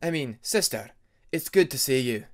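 A man's voice: medium pitch, expressive, normal speed, lightly acted. A man voicing a proud young male ice dragon who speaks formally, in an audiobook story. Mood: formal greeting.

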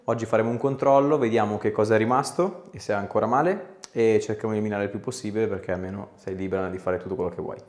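A man in his thirties working as a chiropractor, giving calm, casual, reassuring instructions and short questions to a patient. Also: lowish voice, very fast, hardly any pauses. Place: small clinic treatment room.

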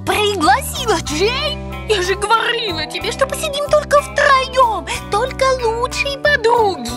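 A woman's voice: very high voice, animated, a little slow. A woman voicing a theatrical, self-pitying winged pig in a cartoon, gushing and plaintive, with music behind her. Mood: upset, accusing. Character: melodramatic, self-pitying winged pig girlfriend, squeamishly dramatic. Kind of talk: animated cartoon voice acting.

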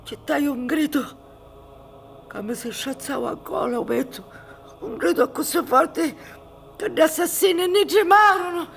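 An actress in her sixties playing an anguished, bitter old Sicilian peasant mother in a radio drama, with a Sicilian accent and halting, dramatic delivery. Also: high-pitched, gravelly, faint music behind. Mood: anguished, agitated. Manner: dramatic, halting, swinging between hushed whispers and cries. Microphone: studio microphone with headphones on a theatre stage.

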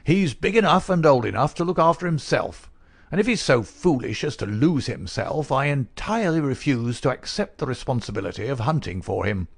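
A man reading aloud, voicing a querulous, miserly old male lord with a sharp crackling voice and an indignant, shrill manner. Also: expressive, vocal fry, husky. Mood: scolding. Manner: shrill, indignant, rapid-fire.

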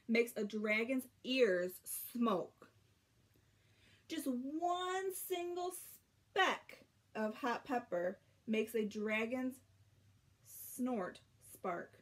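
A young woman reading a children's picture book aloud, expressively, with drawn-out emphasis and dramatic pauses. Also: fairly high pitch. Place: small bedroom at home.

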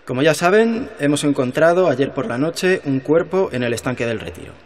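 A man's voice: a little high, animated, normal speed, background noise, lightly acted. An actor playing a male police spokesman giving a formal, official press statement in a radio drama. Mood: official, grave.

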